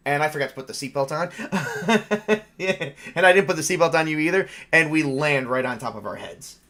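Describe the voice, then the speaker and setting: A man's voice: a little high, lively intonation, moderate pace, bright, forceful tone. A man narrating a tabletop horror game as game master in a casual, improvised and informal way. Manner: informal, improvising with mild swearing.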